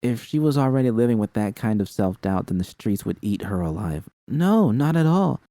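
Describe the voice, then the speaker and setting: A man's voice: fairly low pitch, brisk, mellow, dry recording, clean studio sound. A man narrating first-person fiction as a scripted audiobook reading.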